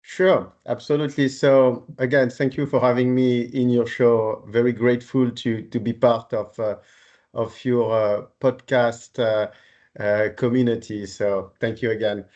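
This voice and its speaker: A man in his forties from Paris with a French accent, speaking politely and haltingly as an interview guest. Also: medium pitch, flat delivery, a little mellow, highs cut off. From Paris, France. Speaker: podcast guest, renewable energy executive. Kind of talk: conversational career account in interview.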